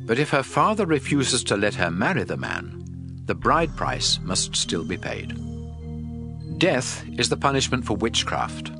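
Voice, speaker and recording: A man playing a stern, authoritative God in a dramatic scripture reading, speaking in solemn, deliberate declarations. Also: medium pitch. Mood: measured instruction, then stern warning. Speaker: audio Bible narrator. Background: background music.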